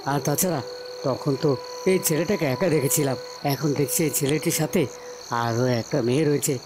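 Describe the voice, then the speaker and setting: A man's voice: a little high, moderate pace, noisy background, lightly acted. A man voicing a menacing forest henchman in a fairy tale cartoon, muttering to himself as he hunts. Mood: watchful, menacing.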